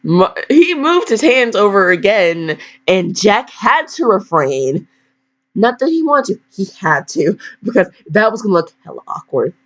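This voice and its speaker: A woman's voice: medium pitch, big pitch swings, a little slow. A teenage girl casually reading aloud and rambling off on goofy, self-deprecating asides. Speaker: fan fiction reader. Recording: dull lo-fi microphone.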